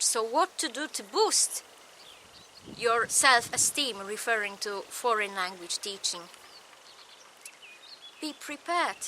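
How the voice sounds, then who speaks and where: A woman with a Polish accent, a native Polish speaker, giving a recorded lecture in slow, measured, short phrases. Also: on the high side, pushed and bright, a little hiss or hum.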